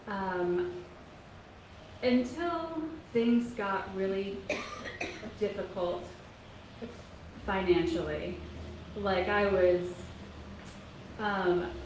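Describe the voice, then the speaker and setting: A woman from Kentucky in her thirties, an author speaking softly and haltingly to a library audience, with many pauses and ums. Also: medium pitch. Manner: soft-spoken, halting, frequent ums. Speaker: author giving a library talk. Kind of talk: casual talk to an audience. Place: public library meeting room. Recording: echoey room, faint noise.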